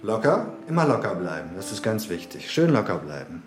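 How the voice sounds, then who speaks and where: A man's voice: on the low side, sing-song, brisk, music in the background. An actor playing a reassuring but pushy care centre salesman in a naturalistic radio drama, talking in a coaxing way. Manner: coaxing, leading tag questions, reassuring.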